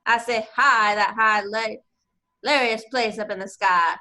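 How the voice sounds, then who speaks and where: A woman's voice: on the high side, steady tone, unhurried, sharp tone. A woman voicing a pompous male cartoon rooster with a Southern US accent, delivering a blustery eulogy and repeating words for emphasis. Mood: solemn, blustery.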